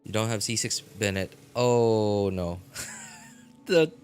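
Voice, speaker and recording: A man live-streaming a game, chatting casually with viewers and answering chat in a relaxed, chatty way. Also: lowish voice, slow, smooth. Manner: frequent fillers and restarts, laughs easily.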